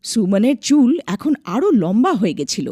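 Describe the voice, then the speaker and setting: Female narrator for cartoon folk tale: highish pitch, expressive melodic delivery, dry recording. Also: normal speed, dry recording. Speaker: storyteller, narrator.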